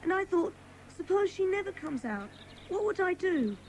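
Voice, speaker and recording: A woman's voice: very high-pitched, very animated, normal speed, darkish tone, slight background noise, heavily acted, low-quality recording. A woman playing a frightened but defiant young runaway boy, plaintive and guarded, in a children's audio drama. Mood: plaintive, confiding.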